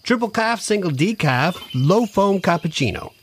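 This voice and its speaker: Guy playing long-suffering assistant, a bit high pitched, mild and careful, faint noise on the recording. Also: animated, moderate pace. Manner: mild, hesitant, placating. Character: patient long-suffering male assistant to a faded star. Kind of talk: scripted audio comedy acting.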